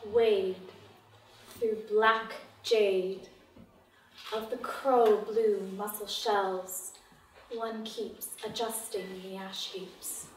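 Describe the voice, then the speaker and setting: A woman reads poetry aloud extremely slowly, in short, deliberate phrases. She is some way from the mic in an echoey room. Her voice sits at a medium pitch. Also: little pitch movement. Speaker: poetry reader. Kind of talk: slow poetry recitation. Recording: echoey room, distant mic, faint noise.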